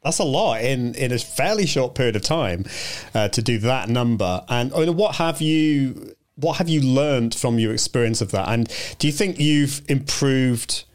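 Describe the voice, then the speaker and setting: A man's voice: medium pitch, animated, moderate pace. A man hosting a live show in a casual interview, encouraging and supportive, often stumbling over his words. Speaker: host of a live video show.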